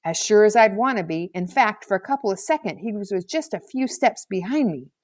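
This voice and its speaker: A woman's voice: mid-range voice, lively intonation, a little fast, no room sound, lightly acted. A brash schoolboy from a storybook, voiced by a woman, full of slang and bluster. He boasts and justifies himself at every turn. The sound is close and dry. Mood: boastful. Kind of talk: storybook character voice.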